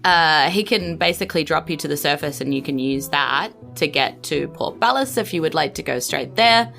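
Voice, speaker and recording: A woman's voice: low voice, expressive, normal speed, gravelly, fairly bright tone, faint music behind. A woman narrating a tabletop game as game master in a casual, conversational, improvised way.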